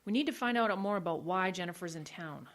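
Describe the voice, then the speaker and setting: A woman's voice: on the low side, animated, quick pace, close to an everyday voice. An actress playing a curious young woman in an audio drama, blunt and inquisitive. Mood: earnest.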